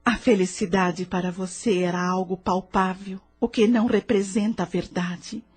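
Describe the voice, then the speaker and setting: An actress with a Brazilian accent playing a patient, wise spiritual counselor who speaks in a measured, didactic, questioning way in an audio novel. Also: female voice, mid-range voice, steady tone, breathy edge, close to an everyday voice. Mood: gently firm. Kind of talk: dramatized audio novel dialogue.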